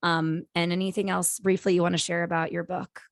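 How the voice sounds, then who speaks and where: A woman's voice: lowish voice, level tone, fairly quick, no room sound, studio quality. A woman co-hosting a podcast, effusive and encouraging as she guides the guest. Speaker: podcast co-host. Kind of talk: casual interview hosting.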